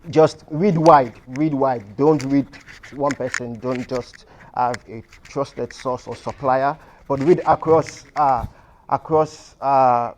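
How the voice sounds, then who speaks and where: A man's voice: medium pitch, takes it slowly, a little hiss or hum. A man, a film critic speaking on a panel, earnest and repeating himself as he restarts phrases. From Nigeria. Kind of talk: panel discussion. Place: cinema hall.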